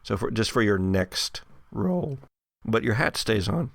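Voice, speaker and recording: A man narrating an improvised tabletop horror game as game master, with deliberate, hesitant phrasing. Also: fairly low pitch, expressive, gravelly, dry recording, studio quality.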